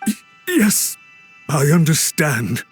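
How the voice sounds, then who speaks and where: An actor playing an anxious, subservient official in a video game, speaking in a hesitant, deferential way. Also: male voice, fairly high pitch, very animated, slow pace, soft background music. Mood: nervous. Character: cowed, anxious male official, subservient and apologetic.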